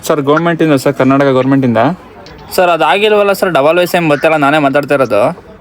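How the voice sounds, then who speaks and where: Fast-talking young-sounding Kannadiga man on a call. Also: a little high. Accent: Kannada, Karnataka. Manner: repeatedly deferential with "sir". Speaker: prank caller, host's friend. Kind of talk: posing as polite official on phone calls. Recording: noisy outdoor recording, traffic behind.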